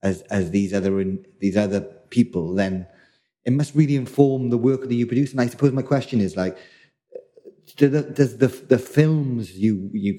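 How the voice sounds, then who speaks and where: A man's voice: mid-range voice, mellow. A middle-aged man from South Wales co-hosting a casual podcast chat, rambling and restarting as he hunts for words. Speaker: podcast co-host.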